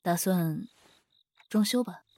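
A woman acting a composed, shrewd, mature finance director with a calm, cool manner in a modern audio drama. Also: lowish voice, slow. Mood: cool, reserved.

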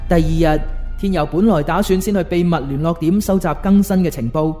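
A man's voice: high-pitched, a little fast, mellow, soft background music. A man narrating a radio drama as a scripted storyteller.

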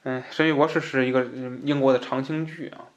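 A male podcast host, talking at a brisk clip. His delivery is casual and explanatory, like a relaxed book discussion, and he tends to restate phrases to make his point. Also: mid-range voice, dull audio. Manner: conversational, explanatory, repeats himself for emphasis.

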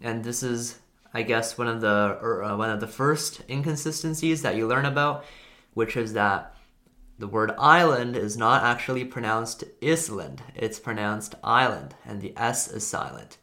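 A man's voice: medium pitch, normal speed. A young man whose first language is Chinese talks casually about learning languages. He rambles a little and often corrects himself mid-sentence. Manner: relaxed, rambling, self-correcting. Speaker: language video host. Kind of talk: casual talk to camera.

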